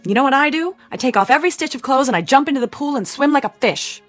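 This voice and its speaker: An actress playing a flamboyant, flirtatious movie-star aunt in a radio drama, gushing and theatrical with teasing endearments. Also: female voice, sits high, expressive, fast talker, faint music behind. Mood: playful, flirtatious.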